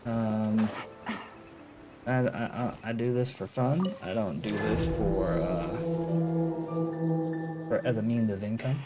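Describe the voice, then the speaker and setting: A man's voice: lowish voice, slow, pauses often. Casual male game streamer over music. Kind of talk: casual livestream gaming commentary. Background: video game music.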